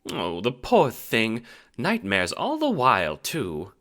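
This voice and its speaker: A man's voice: mid-range voice, huge pitch swings, slow, a bit bright, pitched above the performer's own voice, full character voice. A man voicing a fussy, motherly small alien woman with prim, emphatic, old-fashioned manners in an audio drama. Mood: motherly concern. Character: fussy, motherly small female carapacian attendant, bossy. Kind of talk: audio drama character acting.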